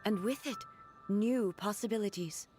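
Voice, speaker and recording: A woman voicing a solemn, formal goddess ruler, speaking in a measured way in game audio with music behind. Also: mid-range voice, darkish tone, lightly acted. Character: solemn godlike female ruler, weakened. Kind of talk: game voice acting.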